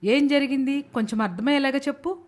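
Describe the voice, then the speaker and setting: Woman playing nurse accomplice, slightly high voice, uneasy, dramatic, animated story. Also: quick pace, close to an everyday voice. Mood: shocked. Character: nurse, the schemer's uneasy but complicit woman friend. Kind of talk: animated moral story voice acting.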